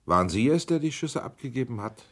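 An actor in a German radio documentary drama playing a persistent inquiry examiner, putting formal, pointed, insistent questions. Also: male voice, medium pitch, lively intonation, a little fast, a bit bright. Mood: persistent, polite. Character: probing, persistent male inquiry committee examiner.

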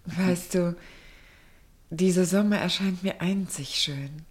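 An actress playing a gentle, anxious middle-aged mother in a German radio drama, pensive and hesitant. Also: on the low side, slow pace. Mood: tender, worried.

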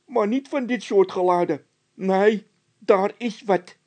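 A man voicing a stubborn, frightened workman who insists and complains loudly in a storybook tale. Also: sits high, slow pace, pitched above the performer's own voice. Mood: insistent, scared.

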